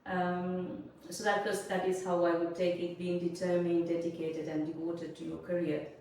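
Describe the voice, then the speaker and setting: Sri Lankan-accented woman, forties, measured motivational guest, lowish voice, very roomy sound with faint hiss. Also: little pitch movement, a little mellow. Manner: earnest, measured, speaks in lists and principles. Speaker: guest, business consultant. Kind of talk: interview answers, motivational. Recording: roomy echo, a little distant from the mic, faint noise.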